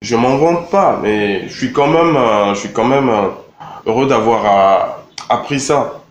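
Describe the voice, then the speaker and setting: A young man from Congo answering interview questions in a relaxed, halting way, with many fillers and repeated words. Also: mid-range voice, slight background noise. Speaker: rapper interviewed. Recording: echoey room with faint ambience.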